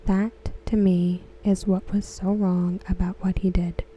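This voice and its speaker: Female ASMR reader: very dark, soft breathy near-whisper, even gentle delivery, some background noise. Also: lowish voice, highs cut off. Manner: hushed, gentle, unhurried delivery. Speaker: ASMR creator. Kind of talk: soft-spoken ASMR read-aloud of written comments.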